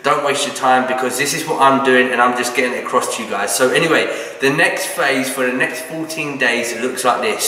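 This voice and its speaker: A British man with a croaky, just-woken voice, talking casually to camera about his training and diet in a brisk, matter-of-fact way. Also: mid-range voice, pushed and bright. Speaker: bodybuilding vlogger. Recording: echoey room, from a distance.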